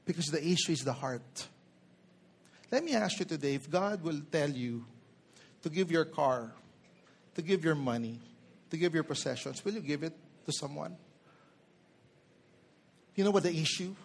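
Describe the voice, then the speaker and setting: Preacher, male, forties, Filipino accent. High voice, emphatic sermon delivery, addresses listeners directly. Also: moderate pace, gravelly, long pauses, slightly rough. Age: 40s. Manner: code-switches between English and Tagalog; emphatic, with rhetorical questions to listeners.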